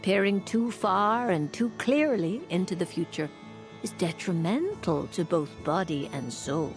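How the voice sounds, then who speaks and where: An actress playing a refined woman mage and fortune-teller in a video game, speaking formally and courteously with measured eloquence. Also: on the low side, expressive. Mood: courteous, theatrical. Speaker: fortune-telling mage character. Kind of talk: video game character acting. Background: music.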